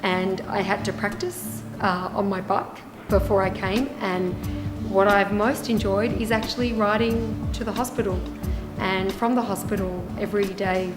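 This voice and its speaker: A woman's voice: mid-range voice, fairly even intonation, normal speed. A woman with an Australian accent, a hospital volunteer speaking reflectively in an interview. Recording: echoey room, music underneath.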